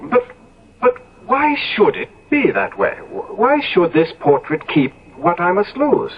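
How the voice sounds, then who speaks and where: An actor playing an impressionable, vain and nervous young gentleman in a 1970s radio drama, stammering and hesitant as he speaks. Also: extremely high pitch, huge pitch swings, a little slow, creaky, full character voice. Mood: agitated, yearning. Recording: dull lo-fi old radio broadcast.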